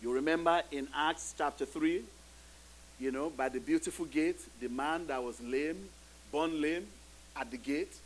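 Man, middle-aged, pastor, non-native english speaker, high pitch, sermon, emphatic repetition. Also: measured pace, slight background noise, low-quality recording. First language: not English. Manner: preaching with rising emphatic repetitions.

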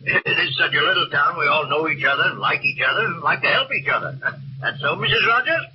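A man's voice: a little high, animated, fairly quick, lightly acted. An actor playing a folksy, eager, helpful small-town handyman in a 1940s radio drama. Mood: neighborly, helpful. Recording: noisy muffled vintage radio recording.

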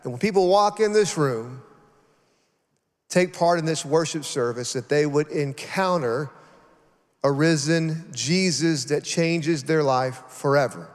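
A man's voice: fairly high pitch, measured pace. A pastor addresses his congregation, a man with a slightly raised voice who speaks earnestly and emphatically. His sentences build into lists. Long reverb rings through a large hall around him.

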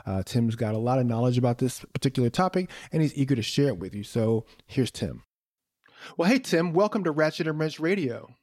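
A man's voice: medium pitch, animated, a little fast, a little mellow, no room sound, studio quality. A man hosting a trade radio show, conversational as he leads the guest with questions. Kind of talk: radio interview.

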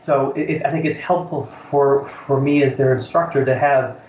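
A man's voice: mid-range voice, steady tone, normal speed, darkish tone, a little hiss or hum. Thoughtful American man, roomy recording. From United States. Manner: thoughtful, repeats words while searching for phrasing. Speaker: college instructor, interview guest. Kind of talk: reflective interview conversation. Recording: roomy, slightly dull recording.

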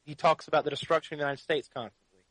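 A man's voice: a little high, fairly even intonation, very fast, fairly bright tone, no room sound, rough recording. Assertive man arguing over a dry mic. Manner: assertive, argumentative. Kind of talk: casual political debate.

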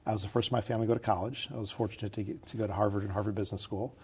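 A man from upstate New York, running for governor, speaks in confident and polished talking points. He talks quickly, with a husky voice that stays flat in pitch. The audio sounds somewhat dull. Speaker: candidate for governor. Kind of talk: campaign interview.

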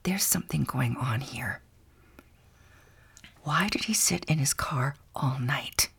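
Husky, suspicious middle-aged farm wife. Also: female voice, very deep voice, animated, slow pace, fairly bright tone, exaggerated voice. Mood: suspicious whispering. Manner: wary, prying, blunt. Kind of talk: naturalistic audio drama acting.

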